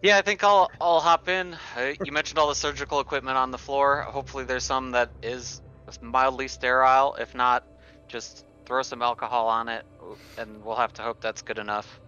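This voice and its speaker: A man's voice: medium pitch, steady tone, moderate pace, pushed and bright, lo-fi sound, soft background music. A man talking casually as a player in a tabletop roleplaying game.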